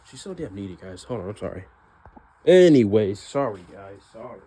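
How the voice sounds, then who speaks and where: A man's voice: lowish voice, big pitch swings, moderate pace, dark tone. A man talking casually to viewers at home in a rambling, slangy, hesitant and reflective personal monologue. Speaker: vlogger. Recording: clearly audible background noise.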